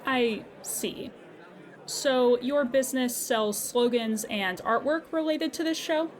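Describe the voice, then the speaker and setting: A woman playing a patient, courteous insurance customer service agent in a scripted audio comedy, speaking formally and with measured politeness. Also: on the high side, some chatter behind. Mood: polite, businesslike. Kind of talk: scripted comedy audio drama phone call.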